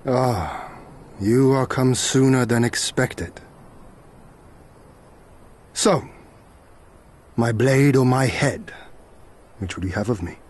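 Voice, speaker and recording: An actor playing a wry, resolute young samurai lord in a fantasy game, with formal, archaic, courtly speech. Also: male voice, mid-range voice, takes it slowly, pauses often, a little mellow, husky. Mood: wry, resigned. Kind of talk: fantasy game cutscene acting.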